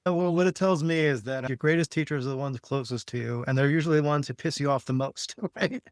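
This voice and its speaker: Guy cohosting a podcast, talks fast, super informal and chatty, dry close sound. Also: a little high, clear, resonant tone, no room sound. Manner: informal, peppered with "like" and "right?". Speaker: podcast co-host. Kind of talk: casual conversation.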